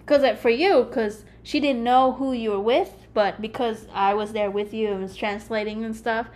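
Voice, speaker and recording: Bright high-voiced young woman, chatty. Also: fairly even intonation, normal speed. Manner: chatty, laughs readily, acts out voices. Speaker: podcast co-host. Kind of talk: casual podcast chat.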